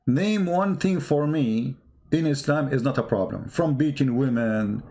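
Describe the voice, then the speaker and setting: A man's voice: mid-range voice, measured pace, dark tone. Emphatic man, non-native English speaker. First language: not English. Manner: emphatic, repetitive, rhetorical questions. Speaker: online commentator. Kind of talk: impromptu polemical commentary.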